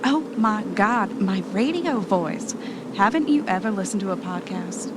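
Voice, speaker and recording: A woman's voice: animated, measured pace, close to an everyday voice. A woman playing an eager, bossy travel podcaster in an amateur audio drama, chatty and ready with an announcer's polish. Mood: exasperated. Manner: chatty, switches into polished radio voice. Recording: noisy, vehicle rumble behind the voice.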